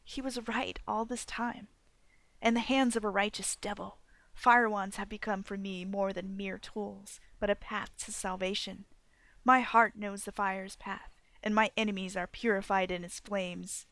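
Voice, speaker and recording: A woman narrates a dramatic first-person audiobook as a defiant, passionate leader. Her voice sits at a middling pitch with a gravelly creak, recorded very dry. The delivery is solemn and deliberate, shaped like an oration. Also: fairly bright tone, no echo. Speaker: author narrating own fan fiction audiobook.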